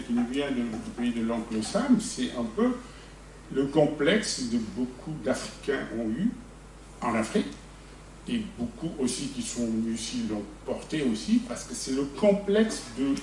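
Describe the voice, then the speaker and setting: A man's voice: mid-range voice, expressive, measured pace, a little mellow. Man, from Senegal, audience member, blunt, conversational, distant mic, roomy, faint hum. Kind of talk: spontaneous personal testimony. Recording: echoey room, mic far off.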